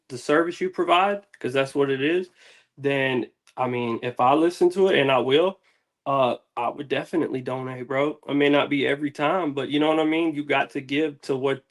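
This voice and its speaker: A man from the United States, a music artist being interviewed, talking casually with lots of slang and swearing. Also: medium pitch. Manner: slangy, profane, peppered with "man" and "dude".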